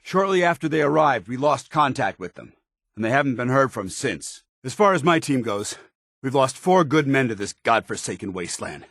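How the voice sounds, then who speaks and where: An actor playing a stern, loyal military officer in a video game, speaking with formal, earnest, clipped diction. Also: male voice, medium pitch, big pitch swings, moderate pace, a bit bright, no echo, clean studio sound. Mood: grave, briefing. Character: stern, loyal, dutiful male military officer in power armor. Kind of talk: video game companion dialogue.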